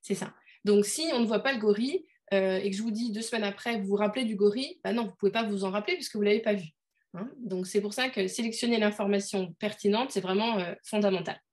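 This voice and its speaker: A woman runs an online workshop over a video call. She explains in a loose, conversational way, pausing often with fillers and restarting her sentences. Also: medium pitch, darkish tone. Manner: hesitant, many fillers, conversational. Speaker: workshop leader.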